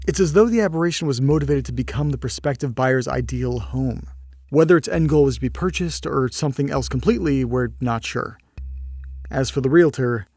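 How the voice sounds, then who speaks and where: Male field agent, man acting, courteous and measured, bit tentative, very dry recording, audio drama. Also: medium pitch, darkish tone, no room sound, natural voice. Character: polite, measured male field agent giving a case report.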